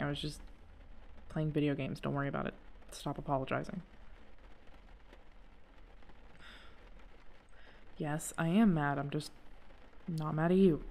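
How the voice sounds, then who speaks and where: A woman playing a protective, exasperated friend in an intimate audio roleplay, speaking in a natural, halting, emphatic way. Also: low-pitched, gravelly, warm tone, a little hiss or hum. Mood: subdued, protective. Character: protective, exasperated friend secretly in love, driving someone home.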